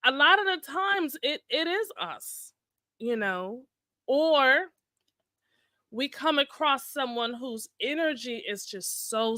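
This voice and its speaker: Bright, high-voiced woman podcaster, unhurried. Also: dry recording, clean studio sound. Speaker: podcast co-host. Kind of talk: casual podcast conversation.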